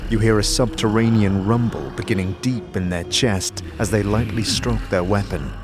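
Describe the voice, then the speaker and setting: Male narrator for a fantasy audio series, lower register, scripted storytelling over a music bed. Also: steady tone, normal speed. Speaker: narrator and game master. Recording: music under the voice.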